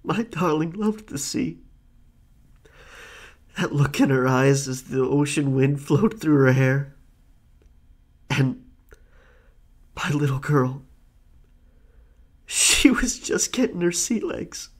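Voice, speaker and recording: Slow, husky, vengeful captain. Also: male voice, on the high side, expressive. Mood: mournful. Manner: slow, theatrical, archaic seafaring speech. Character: vengeful, grief-stricken old pirate captain, widowed father. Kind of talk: dramatic pirate roleplay audio.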